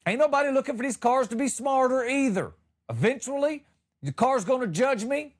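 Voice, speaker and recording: A man's voice: very high voice, animated, normal speed. A middle-aged man hosting a comedy podcast, telling stories in a folksy, colloquial and punchy way with comic exasperation. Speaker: comedy podcast host and former radio entertainer. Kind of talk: comedic storytelling monologue.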